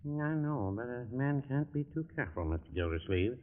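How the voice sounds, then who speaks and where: An actor playing a cautious older small-town druggist in 1940s radio comedy, mild, hesitant and noncommittal. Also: male voice, mid-range voice, fairly quick, natural voice. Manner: hesitant, mild, evasive, deadpan. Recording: muffled, narrow-band 1940s radio recording.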